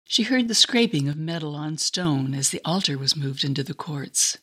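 A woman reading a scripted dramatic narration in an expressive, storybook manner. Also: deep voice, moderate pace, slightly rough.

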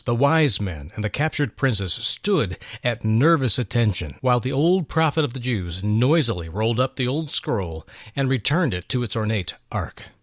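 Guy telling bible stuff, emphatic and solemn, bright voice, dry close sound. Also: medium pitch, dry recording. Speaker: co-host and dramatic narrator. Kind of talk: Bible discussion and dramatic scripted narration.

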